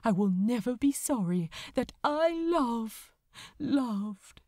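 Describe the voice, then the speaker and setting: A woman voicing an earnest, tearful male angel confessing love in a halting, fervent way, as in an audiobook. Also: a little high, animated, mellow, no echo, clean studio sound. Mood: tender, resolute. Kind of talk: emotional audiobook character dialogue.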